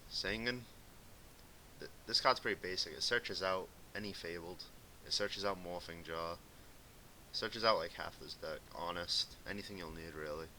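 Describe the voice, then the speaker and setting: A young man with a light Boston accent talks in a low voice with a bright, forceful edge. He rambles in a laid-back way with fillers, as if giving casual commentary on his game at home. A faint hiss sits under the recording. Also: normal speed, low-quality recording. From Massachusetts. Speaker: gaming video commentator. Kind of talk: casual commentary over gameplay.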